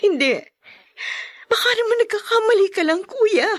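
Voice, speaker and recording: An actress playing a hurt, suspicious young wife in a Filipino drama, with a breathy voice and Filipino accent. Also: female voice, extremely high pitch, lively intonation, normal speed, no echo, studio quality. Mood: distressed disbelief. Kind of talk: Filipino radio drama acting.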